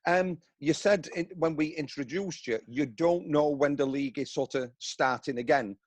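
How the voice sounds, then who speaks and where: A man's voice: on the high side, normal speed, dry recording. A man co-hosting a football podcast, asking friendly, joking questions. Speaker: podcast co-host. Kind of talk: casual interview chat.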